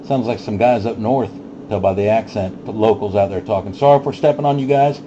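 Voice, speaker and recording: A low-pitched man chats easily in CB radio lingo. The audio is dull and muffled, with clear static and hum behind him. He sounds like a laid-back operator hosting a night on the band. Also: brisk. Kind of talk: casual CB radio chat. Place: home base radio station.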